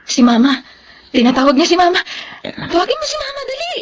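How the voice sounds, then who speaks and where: Woman doing a shrill, nagging big sister. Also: huge pitch swings, fairly quick, exaggerated voice. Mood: urgent. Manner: nagging, scolding. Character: bossy young woman scolding her brother. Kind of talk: dramatized storytelling.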